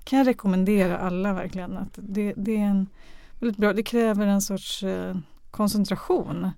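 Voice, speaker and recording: Creaky-voiced woman, thinking aloud, very dry sound. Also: medium pitch, steady tone, mellow, dry recording. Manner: hesitant, searching for words, thinking aloud. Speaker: radio drama director or producer, interview guest. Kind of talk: conversational interview. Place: close, dry podcast recording.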